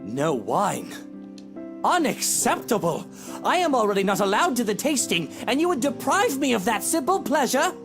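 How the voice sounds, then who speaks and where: A woman's voice: a little high, very animated, normal speed, over music. A woman voicing a haughty, petulant wealthy young husband in a visual novel game, with theatrical, whining indignation. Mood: petulant, indignant.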